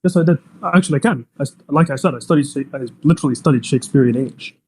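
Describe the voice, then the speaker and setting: Casting: young man, Arabic first language, high voice, pushy debater who talks over others. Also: racing, darkish tone, a little hiss or hum. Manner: insistent, repeats demands, talks over others. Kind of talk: heated online debate.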